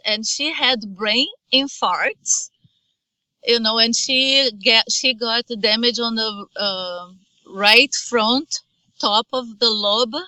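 Middle-aged woman, Brazilian Portuguese accent, earnest testimony, bright tense tone, dry close sound. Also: a little high, no room sound, low-quality recording. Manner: halting, earnest, with frequent restarts. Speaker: mother giving testimony. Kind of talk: personal testimony.

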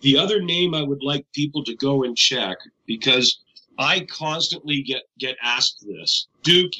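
Bright-voiced man guesting on a radio show. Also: mid-range voice, low-quality recording. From Canada. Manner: rambling, conversational, self-correcting. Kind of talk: casual radio interview.

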